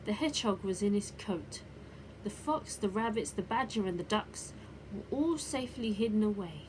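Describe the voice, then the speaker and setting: A woman reading a children's bedtime story expressively, with deliberate dramatic emphasis. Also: mid-range voice, animated, darkish tone, rough recording. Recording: clear background noise.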